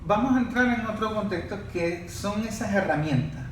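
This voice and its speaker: A man's voice: high-pitched, moderate pace, a little hiss or hum. Distant echoey man steering the talk.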